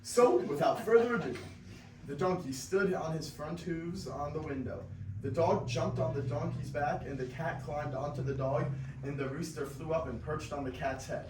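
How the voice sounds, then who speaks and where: A man's voice: fairly high pitch, moderate pace. A teenage boy narrating a scripted story as part of a classroom reader's theater. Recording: echoey classroom, distant mic, faint noise.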